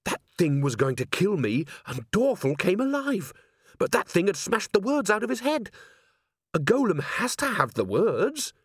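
A man's voice: high-pitched, wildly swooping pitch, slow, fairly bright tone, breathy edge, no echo, studio quality, voice pushed up, full character voice. A man voicing an earnest, dogged young watchman in a storybook, speaking urgently and straightforwardly. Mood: insistent.

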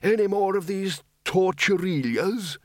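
High-pitched doddery old wizard. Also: male voice, unhurried, no echo, clean studio sound. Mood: grumbling. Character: cheerful, doddery, half-deaf very old male wizard. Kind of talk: audiobook character voice.

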